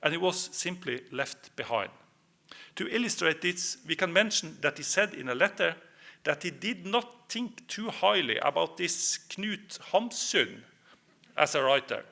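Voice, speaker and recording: A man with a Norwegian accent giving a history presentation, speaking in measured phrases with hesitant fillers. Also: on the high side, sing-song, creaky, pushed and bright. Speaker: lecturer.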